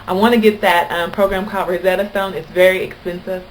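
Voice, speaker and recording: A woman's voice: on the low side, fairly even intonation, quick pace, a little hiss or hum, rough recording. A woman from Kentucky with an American accent, chatting casually and anecdotally to camera as a vlogger. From Kentucky, United States.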